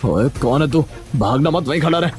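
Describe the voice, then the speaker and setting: A man's voice: on the high side, expressive, normal speed, a little mellow, soft background music, close to an everyday voice. A man playing an arrogant, angry old village headman in a cartoon story, bossy and scolding. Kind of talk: Hindi cartoon story acting.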